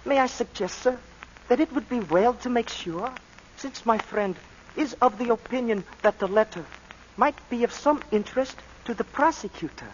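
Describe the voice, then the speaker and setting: An actor playing a polite, sly male law clerk who is deferential and measured, in 1940s radio acting. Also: very high-pitched, a little hiss or hum. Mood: insinuating. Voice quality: deferential, measured, sir-laden. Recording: muffled 1940s radio broadcast.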